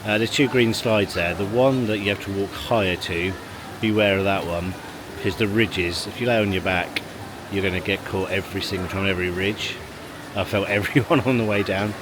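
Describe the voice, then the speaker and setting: Low-voiced man vlogging casually. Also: steady tone, normal speed, hardly any pauses. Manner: rambling, chatty, chuckles between remarks. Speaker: family vlogger. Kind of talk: casual vlog chat to camera. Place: outdoors in a car park, then at a leisure pool. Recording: handheld vlog with loud background noise.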